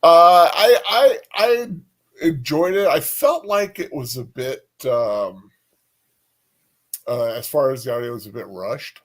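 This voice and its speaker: A man co-hosting a casual chat, speaking informally and a little haltingly. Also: a little high. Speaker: co-host.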